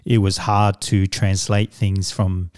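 A middle-aged man, a native Samoan speaker, answering interview questions in a measured, reflective way as a business coach. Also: low voice, level tone, darkish tone, clean tone, no echo, studio quality. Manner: measured, reflective, with fillers and restarts.

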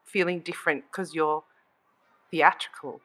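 A woman hosting a casual conversation, expressive and quick to laugh, asking her guests questions. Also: low voice. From Australia. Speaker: host. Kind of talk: casual podcast chat.

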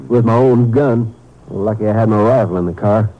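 An actor playing a folksy rural sheriff with a grudge, in a 1950s radio drama. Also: male voice, fairly low pitch, normal speed, lightly acted. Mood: aggrieved. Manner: folksy, aggrieved, drawling. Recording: dull old radio recording.

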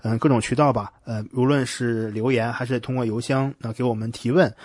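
A man's voice: mid-range voice, fairly even intonation, brisk, darkish tone, no room sound, clean studio sound. A man hosting a podcast in a casual, conversational style, often hesitating and rephrasing as he goes. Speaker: podcast host.

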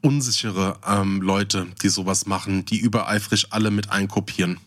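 A man's voice: lowish voice, steady tone, moderate pace, a bit bright. A man hosting a podcast, telling anecdotes in a casual, slangy, jokey way. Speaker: podcast host. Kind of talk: casual comedic chat and anecdotes.

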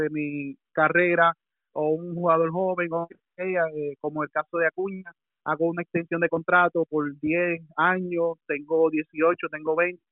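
A man's voice: fairly high pitch, dry recording. A man calling in as a baseball commentator, explaining by taking different viewpoints and often repeating words. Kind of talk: casual panel discussion. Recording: phone line call-in.

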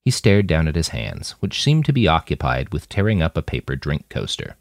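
A low-pitched man reads fiction as a podcast narrator. His storytelling swings widely in pitch and is full of expression. The sound is dry, with very little room in it. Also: huge pitch swings, fairly quick, creaky, dry recording.

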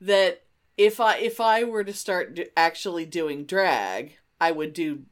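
A woman co-hosting a podcast in casual chat, with an excitable, emphatic way of talking. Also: fairly low pitch, unhurried. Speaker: podcast co-host.

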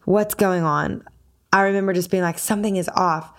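A woman's voice: fairly low pitch, fairly even intonation, normal speed, a bit bright. A young adult woman, a singer, talking casually and acting out quoted dialogue as she tells a story. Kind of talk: casual conversation.

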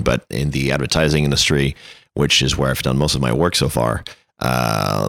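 A man's voice: very deep voice, gravelly, a bit bright, no room sound. A man, chatting as an interview guest in a wordy, self-deprecating, anecdotal way. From France. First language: French. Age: 30s. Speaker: interview guest, creative director. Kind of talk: casual conversational interview.

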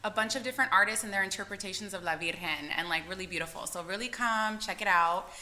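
A woman's voice: medium pitch, fast talker, bright, forceful tone. A young woman co-hosting a podcast, introducing guests in an eager, casual way. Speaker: podcast co-host.

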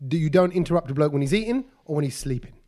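A man hosting a radio chat, brisk and chatty, steering the conversation. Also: a little high, expressive, mellow. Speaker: radio host. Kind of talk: casual chat.

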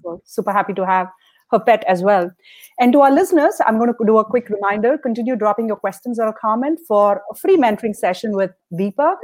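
A woman hosting a remote interview podcast, upbeat and laughing often as she asks quick questions. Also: medium pitch. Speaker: podcast host.

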